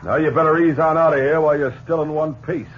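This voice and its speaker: An actor playing a cocky, defiant outlaw gang boss with sneering cowboy talk in a 1950s radio western. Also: male voice, a little high, fairly even intonation, quick pace, natural voice. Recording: dull, hissy old radio broadcast.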